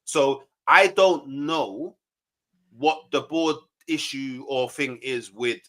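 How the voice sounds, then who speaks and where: A man's voice: medium pitch, takes it slowly, fairly bright tone. A man arguing defensively in a heated football debate on a livestream, often repeating himself when pressed. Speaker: football fan panelist.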